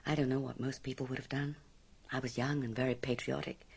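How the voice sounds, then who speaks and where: Haunted married woman, low voice, middle aged, scared and confiding, dramatic pauses, radio play. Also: flat delivery, creaky, a little mellow, husky, full character voice. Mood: grave, confiding. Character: frightened, haunted married woman confiding her secret past.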